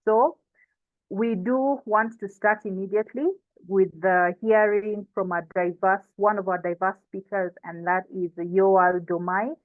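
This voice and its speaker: A woman's voice: mid-range voice, steady tone, a little slow, dry recording. A woman with a Kenyan accent, informally hosting and moderating an online session and introducing panelists. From coastal Kenya. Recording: thin phone-like online call audio.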